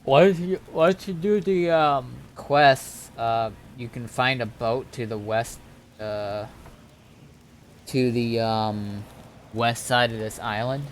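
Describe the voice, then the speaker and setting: A man's voice: medium pitch, steady tone, slow, slight background noise. A man casually guiding newcomers through an online game, explaining step by step and somewhat hesitantly. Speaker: experienced game server player guiding newcomers.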